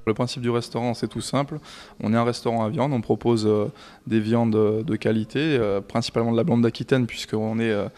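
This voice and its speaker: A man in his thirties talks about his restaurant into a handheld reporter mic, indoors. His voice sits at an ordinary middle pitch. He hesitates often, filling pauses and restarting phrases. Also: steady tone, darkish tone. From France. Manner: hesitant, many fillers and restarts. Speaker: restaurant manager. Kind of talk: on-camera interview.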